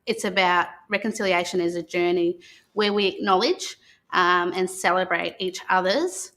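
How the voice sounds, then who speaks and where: Bright-voiced Australian woman, halting and courteous. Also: fairly low pitch. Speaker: guest on a children's live stream. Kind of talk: conversational explaining to children.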